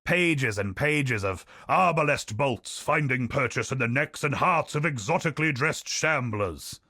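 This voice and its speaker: A curious, honor-seeking scholar of an explorer in a video game. His voice rises and falls in lively swings and stays close, with no room sound at all. He keeps slipping in dry, wry asides while recounting old records. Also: medium pitch, no room sound, exaggerated voice. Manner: dry wry asides, measured storytelling delivery. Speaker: expedition companion character. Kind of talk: studio video game voice lines.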